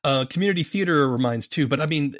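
A man's voice: fairly high pitch, lively intonation, quick pace, no room sound, clean studio sound. A man interviewing in a casual, reflective, conversational way on a podcast.

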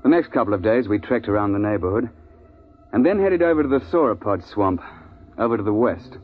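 An actor playing a seasoned hunting guide, firm and wry, in a 1950s radio drama. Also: male voice, on the low side, expressive, a little fast, phone-quality sound, soft background music, natural voice. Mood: wry storytelling. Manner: colloquial, authoritative storyteller. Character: seasoned male hunting guide telling his safari tales, firm, wry. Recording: band-limited 1950s radio recording, faint noise.

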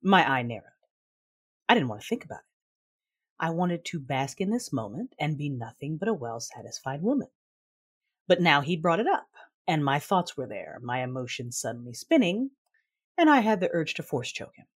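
An actress playing a proud, passionate but guarded woman warrior, narrating an audio drama in the first person in a breathy, intimate, measured way. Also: deep voice, sing-song, exaggerated voice. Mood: tense. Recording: music under the voice. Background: music.

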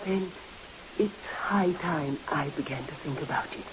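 A woman playing a gentle, frail, middle-aged invalid spinster in an old radio drama, earnest, plaintive and a little hesitant. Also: sits low, a little slow. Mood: gently insistent. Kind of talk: 1950s radio drama acting. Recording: muffled old radio recording, faint hiss.

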